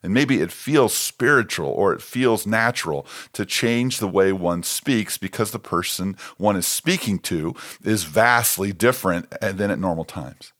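A man's voice: deep voice, expressive, unhurried, few pauses, a bit bright. An American man, a pastor, reading a scripted devotional.

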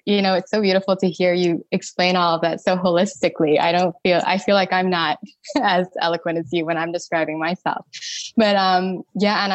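A young adult woman from Thailand, a podcast guest and energy healer, telling her story in a lively, conversational way with laughs between phrases. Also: lowish voice, no room sound, dull audio.

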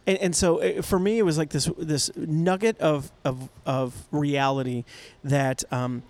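A middle-aged man from North America chatting on a casual podcast in a playful, joking way. His voice sits a little higher than most men's. He laughs easily and breaks into sung snippets now and then. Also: fairly even intonation, a little slow, a little mellow. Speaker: podcast co-host. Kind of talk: casual chat.

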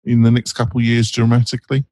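A man's voice: medium pitch, monotone, fast, dark tone, dry recording. A man with a strong North London accent, hosting a panel in a chatty, joking way. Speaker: panel host. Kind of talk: panel discussion.

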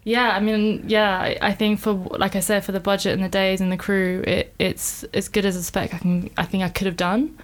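A woman's voice: mid-range voice, little pitch movement, moderate pace, few pauses. A woman, a film director talking reflectively and informally in a casual interview, explaining her work at length. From born in Iran, grew up in England. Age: 30s.

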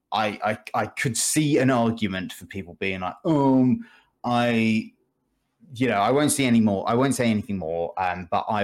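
A man chatting casually as a guest on a gaming podcast, explaining things in a jokey, rambling way. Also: lowish voice.

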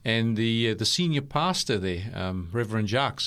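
A man's voice: on the low side, animated, normal speed, fairly bright tone, clean tone. A man with a mixed South African and New Zealand accent hosting an interview in a studio, chatty and laughing easily. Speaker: interview host. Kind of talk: conversational interview.

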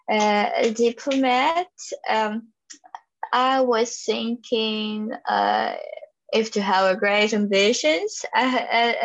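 A young woman from Ukraine, speaking English with a Ukrainian accent, answering interview questions hesitantly with many fillers and restarts. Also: a little high. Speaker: interview guest. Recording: dull lo-fi recording.

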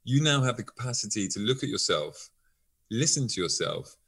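A man's voice: medium pitch, lively intonation, moderate pace, a bit bright, husky. A man being interviewed as a guest, explaining with examples in an eager conversational way.

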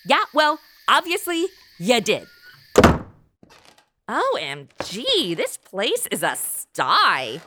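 A woman's voice: fairly high pitch, huge pitch swings, drawn-out words, heavy background noise, full character voice. A woman playing a sassy, entitled modern princess in a comic radio play, sarcastic, slangy and exasperated. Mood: disgusted.

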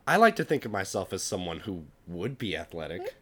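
Young american guy, kinda low voice, jokey podcast cohost, bounces around a lot when he talks. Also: brisk. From United States. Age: young adult. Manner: joking, dry, animated. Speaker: podcast co-host. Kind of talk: casual chat.

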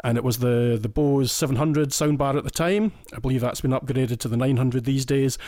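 A man's voice: mid-range voice, brisk, dark tone, dry recording, studio quality. A Scottish man, a listener guest on a podcast, talking through his setup in casual, detailed and enthusiastic chat, often restarting his phrases. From Scotland.

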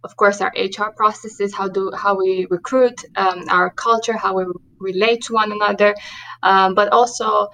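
A woman's voice: mid-range voice, steady tone, normal speed, fairly bright tone, rough recording. A woman in her thirties from Romania with a Romanian accent, a guest on a podcast who talks earnestly about her work with many ums and restarts. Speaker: diversity and inclusion manager, guest. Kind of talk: podcast interview.

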